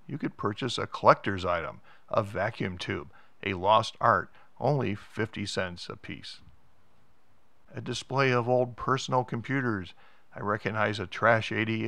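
Low, creaky American man narrating. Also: fairly bright tone, no echo. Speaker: vlog host and tour narrator. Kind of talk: casual narrated museum tour.

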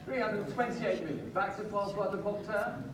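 A high-voiced man calls bids as a live auctioneer, rattling off figures over and over with a light, joking touch. His comes from far off in a huge, echoing sale room, caught on a phone in the crowd. A clear murmur of the room sits under him. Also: background noise. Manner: brisk, repetitive calling of bids with light joking asides. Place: large auction sale room, heard from the audience. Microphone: far phone camera in the crowd.

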